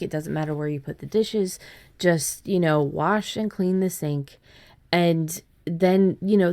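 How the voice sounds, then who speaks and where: A woman with a notably low voice hosts a writing podcast. She chats casually, circling back with restarts and "you know" asides as she explains her ideas. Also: warm tone. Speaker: podcast host.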